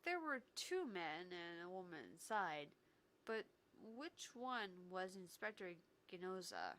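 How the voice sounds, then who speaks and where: A woman's voice: fairly low pitch, big pitch swings, unhurried, a bit bright. A woman streamer reading a visual novel aloud and chatting casually between the lines. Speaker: gaming streamer. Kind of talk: visual novel read-along with casual banter.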